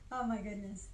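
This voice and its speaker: An older woman giving an informal craft demonstration in a chatty, halting way, often stopping to rephrase. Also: medium pitch. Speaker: craft instructor. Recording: roomy echo, faint background noise.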